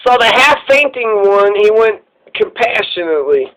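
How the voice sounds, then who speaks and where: A man's voice: high voice, sing-song, normal speed, fairly bright tone, rough recording. A man reading a fairy tale aloud in a theatrical, irreverent way, breaking off for sweary casual asides. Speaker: storyteller.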